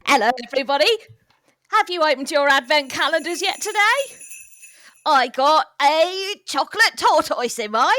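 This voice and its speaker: A woman acts out a hapless female temp in a comedy audio play. Her voice is high and bright, recorded very dry, with a bemused way of talking. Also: animated, normal speed, no echo. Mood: cheery. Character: hapless female office temp reading silly questions aloud. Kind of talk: improvised comedy audio drama.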